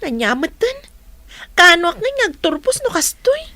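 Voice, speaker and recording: A woman's voice: very high voice, sing-song, normal speed, bright, forceful tone, no room sound, heavily acted. A woman playing a demanding, sulky young student daughter in an audio drama.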